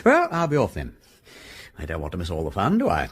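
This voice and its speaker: An actor playing a breezy, curious gentleman sleuth with an upper-class English accent and jaunty old-fashioned slang, in a radio drama. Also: low voice, huge pitch swings, fairly quick, slightly rough, exaggerated voice.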